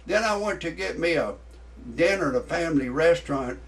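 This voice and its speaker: A man's voice: fairly high pitch, expressive, fairly quick, vocal fry, recorded from a distance. Blustering man in his sixties. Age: 60s. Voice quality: profane, blustering. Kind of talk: ranting stand-up monologue.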